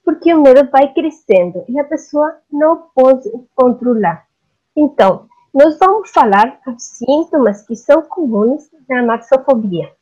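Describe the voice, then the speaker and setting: An Argentine woman with a Spanish accent gives a slow, unhurried webinar talk, pausing often to find her words. Her voice sits fairly high for a woman. The call audio is dull and lo-fi. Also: expressive, a little mellow. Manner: hesitant, searching for Portuguese words, frequent "sim?" checks. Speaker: psychologist speaker. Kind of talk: webinar presentation.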